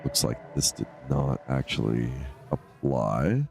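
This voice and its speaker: A man with a stuffed-up, nasal voice giving casual, low-key, rambling solo commentary as he live streams a game. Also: lively intonation, takes it slowly, gravelly, darkish tone, soft background music. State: congested from allergies.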